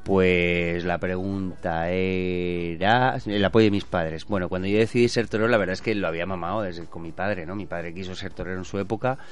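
A man's voice: low-pitched, steady tone, moderate pace, hardly any pauses, faint music behind. A man from Badalona with a Spanish accent, speaking as a bullfighter being interviewed in a casual, colloquial storytelling way. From Badalona, Spain.

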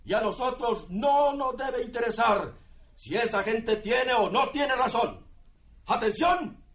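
A man's voice: extremely high pitch, lively intonation, slow pace, fairly bright tone, heavily acted. A man playing an authoritarian military officer who barks orders to his troops in a radio drama. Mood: stern, commanding. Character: commanding military officer briefing troops against strikers. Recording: roomy, echoing sound.

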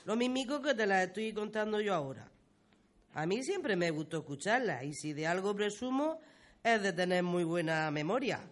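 A woman's voice: mid-range voice, lively intonation, normal speed, lightly acted. A woman playing a proud village grandmother reminiscing in a radio play, with a Murcian accent and a folksy, exclamatory way of talking. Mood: proud, fond. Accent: Murcian Spanish. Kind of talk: radio play storytelling dialogue.

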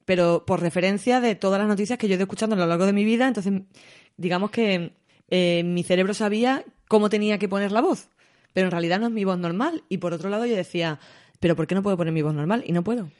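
A woman's voice: mid-range voice, fast talker, darkish tone. Andalusian-accented woman announcer, candid and easygoing in chat. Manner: candid, laughs easily, hesitant fillers. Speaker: news announcer. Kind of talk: casual discussion.

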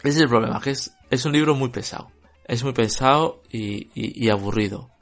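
A man with a Spanish accent from Spain talks through a book review, stumbling over fillers and restarts. His pitch sits in an ordinary middle range. He gets blunt and dismissive, and quiet music plays faintly behind him. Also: brisk. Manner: hesitant, full of fillers, bluntly critical. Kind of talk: casual spoken book review.

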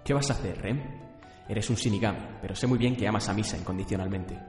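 A man's voice: lowish voice, quick pace, darkish tone, soft background music, lightly acted. A man playing a cunning, self-righteous young vigilante in a radio drama, controlled and insistent. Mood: scheming. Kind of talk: radio drama adaptation of anime.

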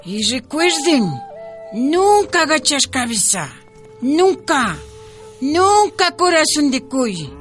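High-voiced old Andean woman leader. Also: big pitch swings, faint music behind, exaggerated voice. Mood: rousing. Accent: Ecuadorian Andean. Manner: slow, emphatic exhorting. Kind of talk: radio drama acting.

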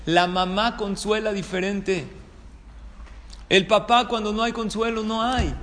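Mexican male rabbi, higher-pitched voice, informal sermon full of questions, echoing hall with room noise. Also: fairly even intonation, unhurried, fairly bright tone. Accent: Mexican Spanish. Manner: conversational, checking in with listeners through rhetorical questions. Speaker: rabbi giving a talk. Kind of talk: informal religious sermon with anecdotes. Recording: reverberant hall, clear background room noise.

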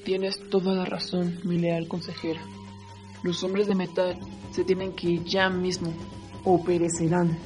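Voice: medium pitch, level tone, measured pace, darkish tone, soft background music, natural voice. An actor playing a proud, commanding Aztec emperor in a radio drama, speaking in a declamatory, imperious way. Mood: stern agreement.

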